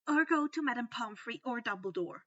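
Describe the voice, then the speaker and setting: A woman's voice: fairly high pitch, animated, fairly quick, highs cut off. A woman voicing a loyal, cautious teenage boy sidekick in a storybook reading, wary and concerned.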